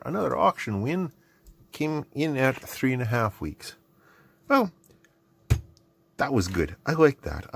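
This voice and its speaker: A man's voice: medium pitch, no echo. A middle-aged man with a Canadian accent, narrating casually and unscripted at his workbench in a rambling, self-deprecating way. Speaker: host of an electronics hobby video series. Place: indoor hobby electronics workbench. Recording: clearly noisy background with clicks.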